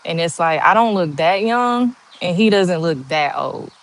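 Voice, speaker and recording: Woman in her forties with a monotone voice. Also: on the low side, a little slow. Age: 40s. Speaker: livestream host. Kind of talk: casual live chat answering viewer comments.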